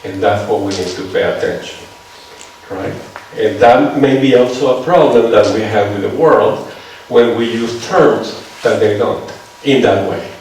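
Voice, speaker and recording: A man's voice: lowish voice, measured pace, a little mellow. A man from Venezuela with a Venezuelan Spanish accent, giving a seminar lecture in English and often checking that listeners follow him. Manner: conversational, checks on listeners with "right?". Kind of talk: lecture with audience questions. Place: lecture hall. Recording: echoey hall, mic far off, faint noise.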